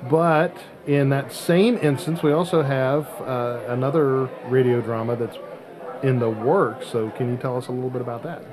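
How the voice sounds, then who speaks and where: A man's voice: medium pitch, fairly even intonation, moderate pace. Male podcast host, with chatter behind. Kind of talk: casual show hosting.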